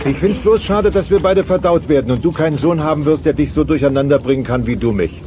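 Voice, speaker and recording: A man's voice: fairly high pitch, fast, noisy background, natural voice. An actor playing a bossy, melodramatic middle-aged dinosaur father in a radio play, blustering and theatrical. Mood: sentimental. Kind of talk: German-dubbed sitcom radio play. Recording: dull cassette transfer.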